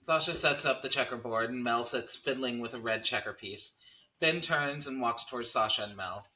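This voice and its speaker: A man's voice: mid-range voice, normal speed, bright, forceful tone, poor audio. A man narrating the stage directions of a screenplay at a table read.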